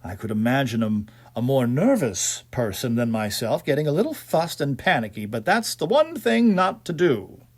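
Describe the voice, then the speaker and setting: A man voicing a nervous character lost in the dark for a story, muttering hesitantly with uneasy bravado. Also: mid-range voice, big pitch swings, normal speed, hardly any pauses. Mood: forced calm. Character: nervous man lost in darkness, forcing himself calm.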